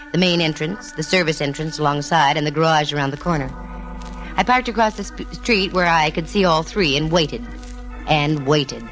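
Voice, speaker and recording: A woman's voice: sits low, fairly bright tone, rough recording. An actress playing a breezy, wry female private eye narrating her case in slangy 1940s radio style. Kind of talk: 1940s radio detective acting. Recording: old radio recording with music.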